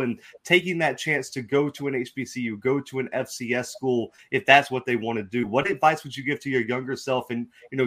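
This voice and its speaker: A man's voice: mid-range voice, a bit bright. A man with an American accent hosting a sports podcast, asking casual interview questions in a chummy, informal way.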